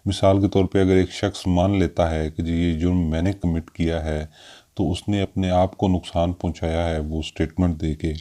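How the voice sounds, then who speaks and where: Deep-voiced Pakistani man explaining, mellow tone. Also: flat delivery. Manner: hesitant delivery with frequent drawn-out filler sounds; mixes in English terms. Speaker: law lecturer. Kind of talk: informal explanatory legal lesson.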